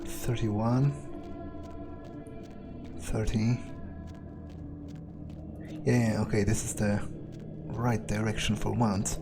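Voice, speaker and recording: A man roleplaying a weary, wary, sardonic vampire in a video game, thinking aloud in a halting, sweary mutter. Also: fairly low pitch, flat delivery, over music. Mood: focused, musing. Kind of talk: in-character improvised gameplay monologue.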